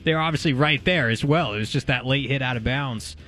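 A man's voice: medium pitch, fast talker, pushed and bright, soft background music. A man co-hosting a sports talk show, giving blunt opinions in casual conversation. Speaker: sports talk radio co-host.

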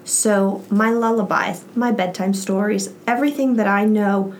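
A woman and mother speaking as a podcast guest, talking in animated bursts with lively emphasis. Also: mid-range voice, a little slow, slight background noise. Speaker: guest, parent. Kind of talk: casual interview chat.

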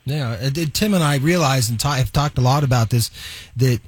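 A man with a husky voice, recorded close and dry, hosts a radio interview. His delivery is conversational, wandering through halting phrases as he puts his thoughts together. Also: mid-range voice, dry recording.

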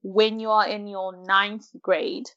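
A woman's voice: mid-range voice, flat delivery, slow, a bit bright, highs cut off. A woman in her twenties with a South African accent, chatting casually as an interview guest in a self-deprecating way. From Malawi, grew up in South Africa from about age six. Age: 20s. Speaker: interview guest, science postgraduate student.

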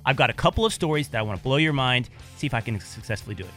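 A man in his thirties co-hosting a radio talk show, speaking in an animated way and restarting his sentences often. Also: medium pitch, rapid-fire, faint music behind. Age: 30s. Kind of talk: casual talk radio discussion. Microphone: studio microphone.

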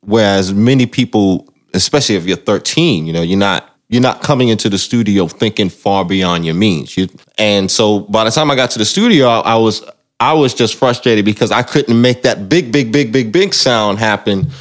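Low-voiced man, reflective and conversational. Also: moderate pace. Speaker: musician and singer, interview guest. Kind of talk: casual conversational storytelling about their own career. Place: music recording studio.

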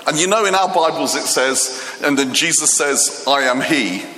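A Greek-born man preaching a sermon, retelling stories in a lively, animated way with many restarts and fillers. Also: fairly high pitch, moderate pace. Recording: echoey hall with long reverb, faint ambience.

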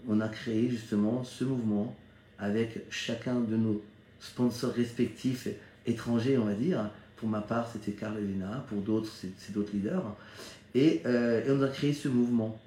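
An echoey recording carries a middle-aged man from France with a soft, mellow timbre. He talks informally like a business mentor sharing his experience. His delivery is conversational, regularly seeking agreement from his listeners. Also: on the low side, steady tone, moderate pace, a little hiss or hum. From Strasbourg, Alsace, France. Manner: conversational, seeks listeners' agreement with frequent check-ins. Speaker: network marketing leader. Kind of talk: informal motivational talk recounting own career. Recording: echoey, roomy sound.

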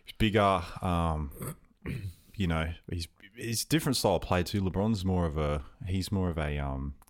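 A man's voice: sits low, normal speed. A man co-hosting a podcast, giving casual opinions in a blunt, emphatic, sweary way. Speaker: podcast co-host. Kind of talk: casual chat, opinionated commentary.

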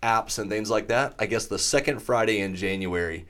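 Low-voiced upbeat podcast host. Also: male voice, moderate pace, a bit bright, slight background noise. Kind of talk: casual chat.

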